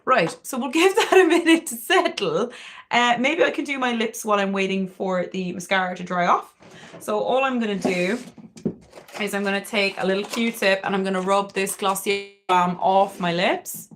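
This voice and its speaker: A woman's voice: mid-range voice, normal speed, noisy background. A young woman with a Cork Irish accent, chatting candidly and swearing freely while doing her makeup on a livestream. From Ireland, Cork. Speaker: beauty livestreamer. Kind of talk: casual makeup livestream chat.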